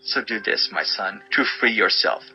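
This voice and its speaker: A man's voice: on the low side, moderate pace, dull audio, faint music behind. A man giving a solemn, fatherly dramatized reading of scripture as narrator, instructing as he goes.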